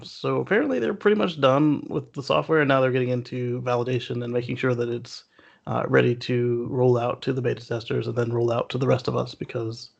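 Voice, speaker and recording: A man with a gravelly, fairly middle-pitched voice talks through news casually as a show co-host. He speaks haltingly, and the audio is a bit dull and lo-fi.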